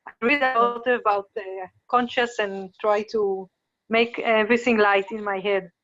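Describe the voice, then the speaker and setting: A woman's voice: medium pitch, steady tone, unhurried, a bit bright, highs cut off, faint music behind. Hesitant woman caller from Slovakia. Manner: hesitant, many fillers. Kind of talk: question from a participant.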